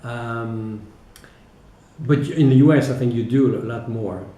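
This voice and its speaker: A man's voice: mid-range voice, measured pace, dark tone. A man with a French accent giving reflective, explanatory interview answers as a teacher, speaking hesitantly and often checking in with a short tag. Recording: echoey, roomy sound.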